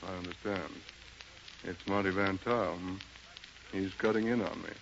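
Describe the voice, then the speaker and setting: A man's voice: low voice, steady tone, moderate pace, vocal fry. An actor playing a suave, worldly, sophisticated man about town in 1930s radio drama style. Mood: wounded, cautioning. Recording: dull, noisy 1930s radio recording.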